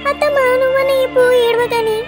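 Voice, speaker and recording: A woman's voice: extremely high pitch, fairly even intonation, brisk, dry recording, soft background music. A woman playing a caring young daughter-in-law in a family drama, pleading and consoling with a Telangana Telugu accent. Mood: anxious consoling. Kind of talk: village family drama acting.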